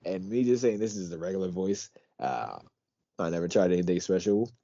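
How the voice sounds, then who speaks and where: Deep-voiced, quiet-talking young man. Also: a little fast, no echo, lo-fi sound. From Pennsylvania. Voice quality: deep, quiet, talks through his teeth. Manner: relaxed, rambling, swears casually. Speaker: podcast co-host. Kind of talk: casual chat.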